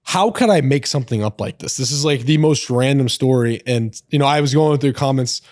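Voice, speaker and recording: A young man in a podcast chat, telling a long story in a rambling, animated way with lots of filler words. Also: mid-range voice, brisk, no room sound, clean studio sound. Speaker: podcast guest. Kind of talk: casual storytelling.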